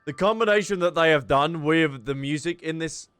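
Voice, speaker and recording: Male game streamer: fairly high voice, casual off-the-cuff reactions, faint music behind. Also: moderate pace, fairly bright tone, clean tone, no room sound. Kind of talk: casual gameplay reaction commentary.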